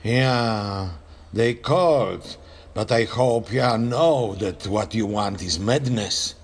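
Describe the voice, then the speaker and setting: A man playing a gruff, wary vault guard in an audio drama, with a husky voice and blunt, terse delivery. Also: fairly low pitch, slow, full character voice.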